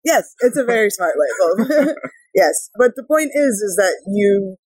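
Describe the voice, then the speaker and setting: A woman from California talks easily as an interview guest, laughing often between thoughts. Her voice is slightly husky, and the recording is dry and close, with no room sound. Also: mid-range voice, lively intonation, a little slow, darkish tone, no echo, poor audio. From born in Israel, grew up in California. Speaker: guest, technical writer. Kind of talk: casual interview chat. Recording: outdoor field recording.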